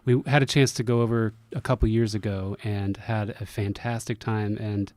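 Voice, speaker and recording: A man's voice: lowish voice, steady tone, normal speed, darkish tone, dry recording. A man chatting casually as a musician guest in a radio interview. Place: radio studio.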